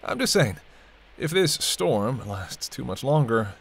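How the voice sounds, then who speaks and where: A man's voice: medium pitch, very animated, slow pace, creaky, slight background noise, full character voice. A man playing a sardonic, teasing vampire in an audio roleplay, talking casually and wryly to the listener as a reluctant companion. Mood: needling, sardonic. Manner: wry, bantering, casual, muttered asides. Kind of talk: intimate audio roleplay acting addressed to the listener.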